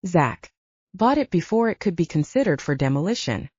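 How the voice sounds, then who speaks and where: A woman's voice: lowish voice, big pitch swings, normal speed, breathy edge, no echo. A woman narrator voicing a kindly, comforting storyteller in an audiobook, on a slightly dull recording. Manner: warm, comforting.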